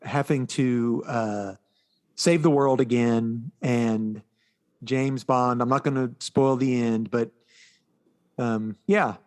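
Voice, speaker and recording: A man co-hosting a podcast, chatting casually about movies in a halting, storytelling way and often quoting dialogue. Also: medium pitch, steady tone, a little mellow. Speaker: podcast co-host.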